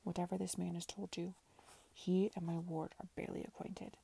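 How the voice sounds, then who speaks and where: A woman voicing a stern, suspicious gentleman guardian in a period story, curt and commanding. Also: lowish voice, level tone, normal speed, gravelly, breathy edge. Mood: tight, formal.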